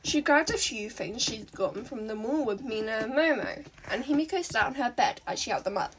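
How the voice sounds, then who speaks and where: A woman reading fan fiction aloud as an expressive narrator. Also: on the high side, brisk, far from the mic, slight background noise, low-quality recording.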